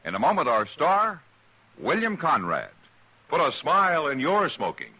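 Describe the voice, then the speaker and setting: A man's voice: fairly high pitch, huge pitch swings, normal speed, bright, forceful tone, slight background noise. A man announcing credits and sponsor lines on a 1950s radio show in a formal style. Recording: muffled 1950s radio broadcast with music.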